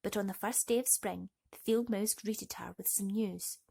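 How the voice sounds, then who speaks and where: A woman's voice: medium pitch, steady tone, normal speed, vocal fry, warm tone. A woman storyteller narrating a bedtime story expressively for children.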